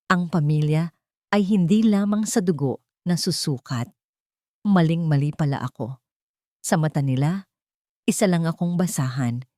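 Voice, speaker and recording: A woman's voice: lowish voice, darkish tone, no room sound, clean studio sound. A woman narrating a scripted first-person dramatic story as an audiobook reader.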